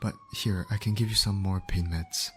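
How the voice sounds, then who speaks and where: A man's voice: low-pitched, moderate pace, fairly bright tone, slight background noise. A man acting a caring field medic in an ASMR roleplay, speaking directly and reassuringly to an injured patient. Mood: soothing, concerned. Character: male field medic, calm, caring, urgent under pressure.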